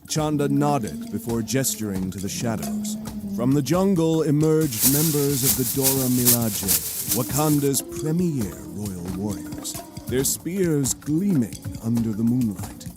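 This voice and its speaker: Male narrator, theatrical, scripted storytelling, medium pitch, very expressive, music bed. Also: a little slow. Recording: cinematic music bed behind.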